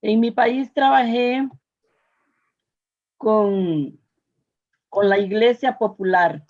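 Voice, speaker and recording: Older Salvadoran woman, mid-pitched, giving earnest testimony in an unhurried, halting delivery; slightly dull recording. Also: a little mellow. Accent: Salvadoran Spanish. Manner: earnest, halting, with searching pauses and repetitions. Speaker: speaker giving personal testimony. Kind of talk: personal testimony to an audience.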